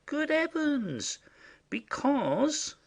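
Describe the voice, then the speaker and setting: A man playing a simple, indiscreet rustic messenger in a classic stage comedy, chatty and confiding in a secretive, halting way. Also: very high-pitched, very animated, gravelly, heavily acted. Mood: anxious, secretive.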